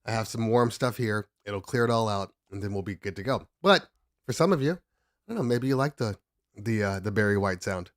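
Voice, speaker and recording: A man's voice: on the low side, fairly quick, dry recording, clean studio sound. Congested man chatting on a livestream. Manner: rambling, self-interrupting, joking. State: congested from just waking up. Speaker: DJ livestream host. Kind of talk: casual livestream chat. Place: home studio at his desk.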